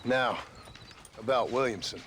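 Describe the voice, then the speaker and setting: Laconic American gunslinger for a Western game male actor: mid-range pitch, blunt, dry, dogged, slight background noise. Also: unhurried, fairly bright tone, close to an everyday voice. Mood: businesslike. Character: terse, dogged outlaw gunman in the Old West. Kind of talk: Western video game acting.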